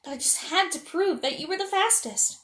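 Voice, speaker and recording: A woman's voice: very high voice, animated, a little fast, fairly bright tone, reverberant, voice pushed up. A woman voicing an eager, bold young princess in a storybook reading, earnest in manner. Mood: determined.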